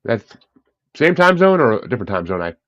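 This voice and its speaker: A man's voice: mid-range voice, very animated, fairly quick, fairly bright tone, no echo, dull audio. A man hosting a casual interview show, talking in an informal, self-deprecating, rambling way. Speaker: podcast host.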